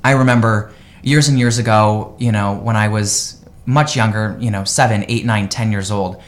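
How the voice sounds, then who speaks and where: A man's voice: fairly low pitch, steady tone, normal speed. A man from the United States, a real estate agent guesting on a live radio interview, talking in an upbeat, enthusiastic way.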